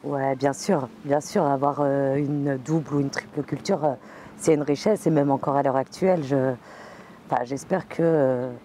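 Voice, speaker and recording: Woman, hesitant interview, very low mellow voice, faint noise. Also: fairly even intonation, normal speed. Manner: hesitant, many fillers.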